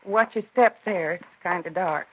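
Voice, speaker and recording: Worried fiftyish American wife, 1950s radio drama, subdued, plain-spoken, phone-thin sound. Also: female voice, on the low side, moderate pace, slightly rough. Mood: subdued, polite. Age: 50s. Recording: thin, narrow old radio recording.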